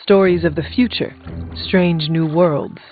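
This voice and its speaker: An actor playing a direct, formal hero in a video game, with a plain and measured way of talking. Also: male voice, a little high, fairly even intonation. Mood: curious, teasing. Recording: dull game audio under music.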